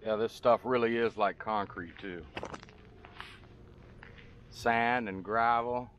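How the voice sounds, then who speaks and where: A middle-aged man casually narrating a fishing and bottle-hunting trip outdoors, talking in a relaxed, drawling way. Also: mid-range voice, slow, highs cut off, slight background noise. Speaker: fishing vlogger. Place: outdoors on a river and sandbar. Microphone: body-worn action camera.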